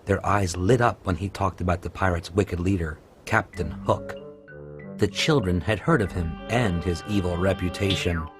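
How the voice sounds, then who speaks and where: A man narrating a scripted children's story as a storyteller, in a measured, clearly enunciated way. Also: low voice. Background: music.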